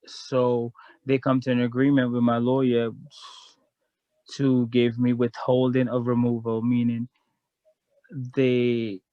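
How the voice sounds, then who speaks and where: A man's voice: mid-range voice, monotone, takes it slowly, pauses often, dry recording, lo-fi sound. A man from Guyana, a guest in a casual chat, telling a long story in a frank, rambling way.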